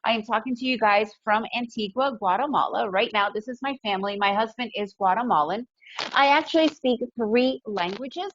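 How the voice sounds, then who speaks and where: A woman's voice: fairly high pitch, moderate pace. A woman raised in the US, with Russian as her first language, gives an upbeat, emphatic webinar talk from home, often asking "right?". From Soviet Union, raised in the US. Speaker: webinar presenter, day trader. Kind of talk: webinar presentation. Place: home in Guatemala. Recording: dull lo-fi webinar audio.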